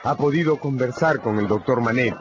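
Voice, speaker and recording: A man's voice: mid-range voice, brisk. A man narrating a radio drama from a script in a dramatic style. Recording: dull, noisy radio recording.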